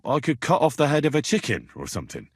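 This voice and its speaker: An actor playing a blunt, plain-spoken young medieval adventurer in a video game, talking in an earthy, sweary, conversational way. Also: male voice, fairly high pitch, sing-song, fast talker, breathy edge, no echo, studio quality. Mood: earnest, matter-of-fact. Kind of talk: video game dialogue acting.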